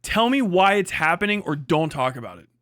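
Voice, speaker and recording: A man's voice: high voice, big pitch swings, a little fast, a bit bright, dry recording, studio quality. A man hosting a casual livestream, reacting with exasperated, mocking, swear-heavy commentary. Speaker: livestream host.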